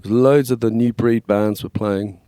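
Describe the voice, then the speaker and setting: An English man in his forties with a slightly scratchy voice, chatting casually as a podcast guest and telling stories in a relaxed way. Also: lowish voice, unhurried, clear, resonant tone, dry recording. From England. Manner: relaxed, storytelling, frequent "yeah, man". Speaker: guest, worship label manager. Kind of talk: casual conversation.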